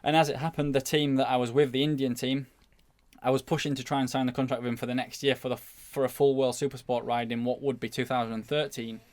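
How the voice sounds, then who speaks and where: A man's voice: mid-range voice, little pitch movement, quick pace. A man speaking as a podcast guest and motorcycle racer, telling anecdotes in a casual, informal way.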